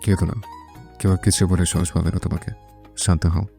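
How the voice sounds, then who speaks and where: Low-voiced, bossy young husband played by a man. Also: flat delivery, brisk, vocal fry, mellow, faint music behind. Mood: soothing. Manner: curt, commanding, teasing. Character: bossy, teasing young husband in a love story.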